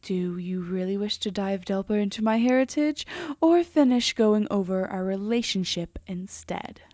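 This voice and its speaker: A woman playing a composed, teasing female demon in an intimate roleplay audio drama, often slipping into a breathy half-whisper. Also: mid-range voice, moderate pace, dark tone, no echo, close to an everyday voice. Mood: smug, teasing.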